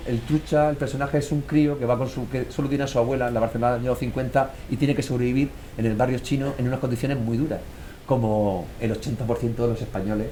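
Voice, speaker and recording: Fast-talking Murcian man, animated storyteller. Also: mid-range voice, a little hiss or hum. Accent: Murcian Spanish. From Murcia, Spain. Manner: animated storyteller, acts out quoted voices. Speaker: author guest. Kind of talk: casual conversational talk.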